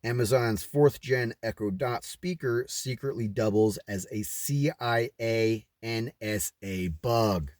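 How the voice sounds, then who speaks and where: A man's voice: medium pitch, takes it slowly, few pauses, darkish tone, no echo, studio quality. A man reading aloud and adding his own off-the-cuff commentary, with emphatic, rhetorical delivery. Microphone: recording himself on camera.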